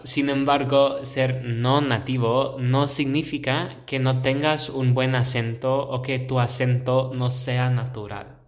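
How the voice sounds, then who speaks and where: Unhurried American male podcast host, non-native speaker, thoughtful and conversational. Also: mid-range voice. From United States. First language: English. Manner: reflective, explains points in a measured, conversational way. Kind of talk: solo educational podcast.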